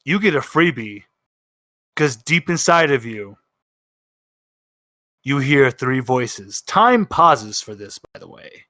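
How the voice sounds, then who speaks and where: Male game master, tabletop narration, slow pace, very varied pitch, bright, dry close sound. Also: sing-song, dry recording.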